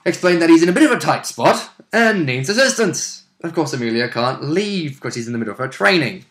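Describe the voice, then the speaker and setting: A man's voice: on the high side, lively intonation, fairly quick, a bit bright. A man talking casually and informally about a tabletop role-playing session, as one of its players.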